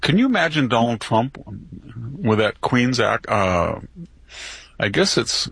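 A man's voice: mid-range voice, very animated, unhurried, creaky, fairly bright tone, slightly rough, no echo. A man giving indignant, scoffing commentary in a played clip.

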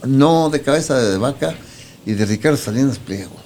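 A man's voice: mid-range voice, expressive, normal speed, darkish tone, a little hiss or hum. A man with a Mexican accent hosting a radio show in casual talk, rambling and repeating himself. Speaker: radio host.

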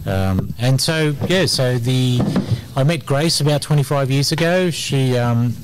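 A middle-aged Australian man speaks at an ordinary mid pitch, eager and lively, his sentences studded with ums and uhs. He is a guest on a community radio show, recorded in a community hall with a faint hiss behind him. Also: unhurried. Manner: energetic, frequent ums and uhs. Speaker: immigration lawyer, interview guest. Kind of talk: casual radio interview.

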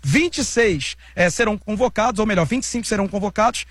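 A man giving a relaxed, informative live news report from the field. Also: high voice, quick pace, husky, no room sound.

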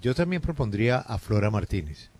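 A man hosting a lively group chat and urging his co-hosts to take turns. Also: fairly low pitch, fairly quick. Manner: bossy, urging others on.

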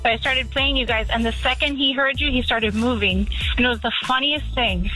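A young woman calling in to a radio show, chatty and eager, with a bright, slightly high voice. Soft music plays faintly behind her. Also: brisk, low-quality recording. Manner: chatty, enthusiastic. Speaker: caller. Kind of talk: casual call-in chat.

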